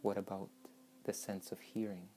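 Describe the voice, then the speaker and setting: A woman leading a guided meditation in a measured, instructing manner, with long pauses between short phrases. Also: very deep voice, flat delivery, dark tone. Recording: voice over meditation music with flute.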